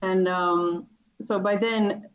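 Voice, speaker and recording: A woman's voice: medium pitch, fairly even intonation, slow, a little hiss or hum. A young Dutch woman with a Dutch accent, chatting casually as a podcast guest, laughing often and restarting her sentences. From Netherlands. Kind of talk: casual interview chat. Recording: dull lo-fi audio.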